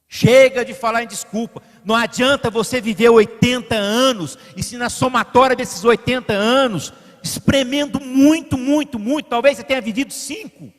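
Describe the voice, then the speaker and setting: A pastor from Brazil preaching in his church, his high voice carried by a long reverb through the sanctuary. He presses his points with rising emphasis, then drops to quiet, conversational asides. Now and then he calls instructions to the man running the slides. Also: unhurried. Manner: directs the slide operator mid-sermon. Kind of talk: sermon.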